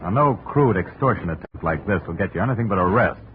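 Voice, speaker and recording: An actor playing a pompous, blustering husband who speaks stiffly and formally, in 1950s radio drama style. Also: male voice, sits low, lively intonation, fast talker. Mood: stern, defiant. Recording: faintly noisy, tinny old radio recording.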